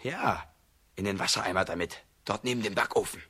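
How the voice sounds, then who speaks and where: An actor playing a dour, scornful peasant brother in a children's radio drama, with a gruff, blunt and dry delivery. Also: male voice, fairly low pitch, fairly quick, noisy background, close to an everyday voice. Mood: rueful.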